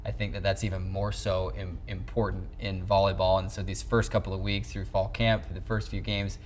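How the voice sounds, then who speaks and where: A man's voice: sits low, level tone, brisk. A young American man in his twenties hosting a sports interview, conversational and wordy as he builds up to questions. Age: 20s. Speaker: sports show host, interviewer. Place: small indoor locker-room set. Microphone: lapel mic.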